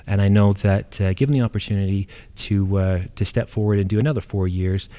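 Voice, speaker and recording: A middle-aged British man introduces himself as a political candidate. His voice is low and very mellow, and he speaks haltingly, with frequent hesitations and repeated words. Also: fairly even intonation, smooth. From United Kingdom. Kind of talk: candidate introduction speech at a public forum.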